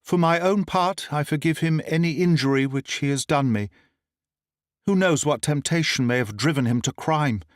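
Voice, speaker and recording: A scheming noblewoman in a storybook reading, her voice raised high and pleading, as a man puts her on. She is theatrical and falsely grieving, and the recording is close and dry with no room sound. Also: normal speed, no echo, lightly acted. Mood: pious. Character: scheming noblewoman, feigning grief.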